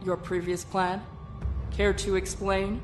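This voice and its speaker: A woman's voice: mid-range voice, flat delivery, slow pace, music in the background, close to an everyday voice. A woman voicing a commanding, foul-mouthed female admiral in a fan-made parody drama. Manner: commanding, swearing.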